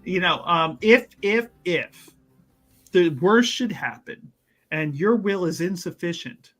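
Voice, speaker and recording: A scheming adventurer played by a man talks in a fairly high, very bright voice. He wheedles and hedges, patting his partner on the head with mock-earnest reassurance, in the loose improvised manner of a tabletop game. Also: animated, takes it slowly, faint music behind, full character voice. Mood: scheming, hedging. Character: smooth-talking scheming male adventurer, condescendingly reassuring. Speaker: tabletop role-playing player. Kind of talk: improvised in-character actual play.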